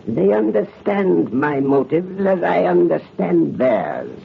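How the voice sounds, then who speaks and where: Gloating, cruel revolutionary villain, unhurried mocking delivery, old radio horror style, muffled noisy recording. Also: male voice, fairly high pitch, big pitch swings, gravelly, heavily acted. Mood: smug menace. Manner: mocking, sinister chuckling, deliberate. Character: gloating, cruel male revolutionary butcher commander. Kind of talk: old-time radio horror drama acting.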